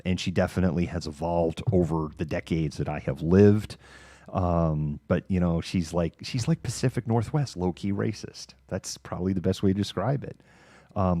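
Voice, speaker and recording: A middle-aged American man hosting a livestream, talking casually and candidly in a halting, informal monologue. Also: sits low, darkish tone, no echo, clean studio sound. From Massachusetts, United States. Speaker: livestream news host. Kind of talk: casual monologue of personal reminiscence.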